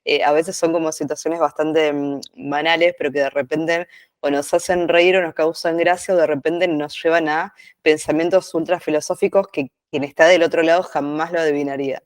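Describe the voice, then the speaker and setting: A man's voice: a little high, fairly even intonation, normal speed, clear, resonant tone, no echo. A man of forty-one with a Buenos Aires accent, hosting an informal interview with long, winding questions. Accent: Buenos Aires Argentine. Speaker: interviewer, host.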